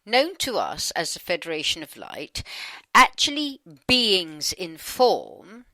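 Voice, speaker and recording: A woman's voice: lowish voice, huge pitch swings, slow, sharp tone, no room sound, low-quality recording. A woman reading her side of a channelled dialogue in an informal, wry, conversational way. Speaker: channeller putting questions to spirit beings.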